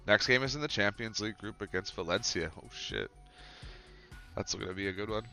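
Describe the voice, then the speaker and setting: Stuffy-nosed male streamer, low and sharp-edged, relaxed musing with quick excited outbursts. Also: gravelly, soft background music. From Canada. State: stuffed up with a cold. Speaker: streamer playing a football management game. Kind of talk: casual gameplay commentary.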